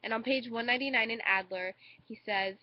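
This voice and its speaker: A woman's voice: on the high side, fairly quick, dry recording. A young woman with a congested cold, giving a hesitant student speech into a webcam with many fillers. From United States. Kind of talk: informal recorded speech. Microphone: webcam microphone. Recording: dull lo-fi webcam audio.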